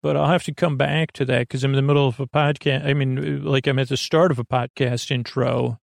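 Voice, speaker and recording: A man hosting a bedtime podcast in a creaky voice, with a lulling, halting delivery that meanders off on tangents. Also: medium pitch, flat delivery, dry recording, clean studio sound. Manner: lulling, soothing, halting and digressive. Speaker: podcast host. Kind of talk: rambling, meandering bedtime monologue.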